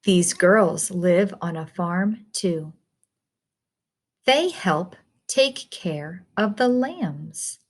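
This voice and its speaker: A woman reading a children's picture book aloud in a simple, deliberate, instructive way, putting questions to the listener. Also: fairly low pitch, lively intonation.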